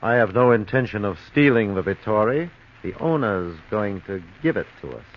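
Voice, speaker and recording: A sly, self-assured American crook near sixty played by a man lays out his thoughts suavely. His low voice comes through the dull, faintly hissy sound of vintage radio drama. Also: expressive, close to an everyday voice. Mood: scheming, confident. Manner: suave, sly, unhurried explaining. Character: smooth-talking scheming male blackmailer, near sixty, self-assured. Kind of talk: 1940s radio drama acting.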